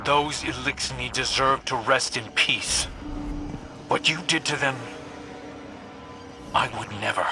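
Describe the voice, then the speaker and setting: A man's voice: medium pitch, slow, long pauses. An actor playing a bitter, righteous, wounded man in a video game, accusing and intense. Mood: indignant. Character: bitter, indignant male adversary, righteous and wounded. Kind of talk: dramatic video game acting. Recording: music under the voice.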